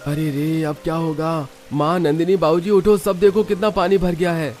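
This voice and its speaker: A man's voice: mid-range voice, normal speed, a little mellow, faint music behind, natural voice. A man voicing a worried, caring husband and father from a poor village in a cartoon. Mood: alarmed.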